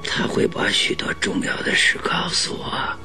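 An actor playing a gravely ill detective in a radio drama, feeble yet commanding, giving halting, breathy orders. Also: male voice, pushed and bright, soft background music. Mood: urgent, secretive. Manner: halting, breathy whispers, weakly urgent orders. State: weak, bedridden sounding. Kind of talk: Mandarin radio drama acting.

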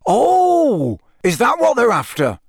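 Man as a breezy, jovial wizard, animated pitch. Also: unhurried, dry recording, clean studio sound. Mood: jovial, casual. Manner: breezy, comfortable, chummy. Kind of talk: audiobook character voice.

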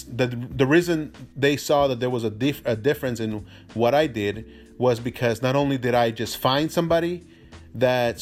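Native Spanish-speaking man talking over music. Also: mid-range voice. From immigrant to the US from a Spanish-speaking country. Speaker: real estate agent presenting a series. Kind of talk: informal explanatory talk to listeners.

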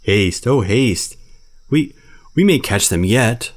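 A man's voice: low-pitched, fairly even intonation, moderate pace, a little mellow, close to an everyday voice. A man voicing a clumsy old male bear who wails and laments over a lost cub. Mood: wailing. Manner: wailing, lamenting.

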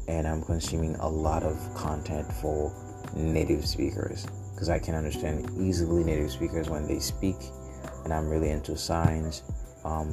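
A man with a Haitian accent, a native Haitian Creole speaker, talking unscripted as a podcast host in a halting way, searching for words and repeating phrases. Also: extremely low pitch. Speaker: podcast host and language learner. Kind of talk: unscripted solo podcast talk.

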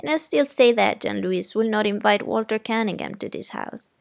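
A woman's voice: mid-range voice, moderate pace, creaky, lightly acted. A woman reading aloud voices a stern, proper older aunt who speaks in a haughty, raised voice.

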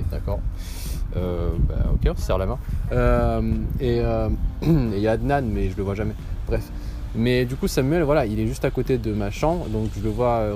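Chatty young man rambling outdoors. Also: fairly low pitch. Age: 20s. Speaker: vlogger. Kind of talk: casual voice note. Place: outdoors in the cold street.

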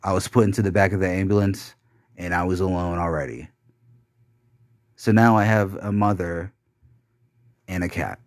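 A young man giving a bitter, blunt personal monologue with plenty of swearing, talking slowly and heavily with long trailing pauses. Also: deep voice, no room sound, clean studio sound. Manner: slow, heavy delivery with trailing pauses.

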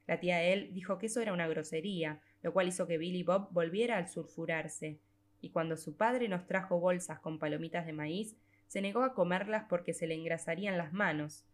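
A woman reading a literary short story aloud as an audiobook narrator, in a measured storytelling manner with drawn-out phrases. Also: fairly low pitch.

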